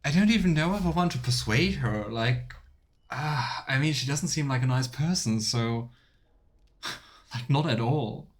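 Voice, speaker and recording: A man's voice: mid-range voice, a little slow. Wry man doing casual game commentary. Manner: wry, sarcastic asides. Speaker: video game streamer. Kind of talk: casual let's play commentary with dialogue read aloud.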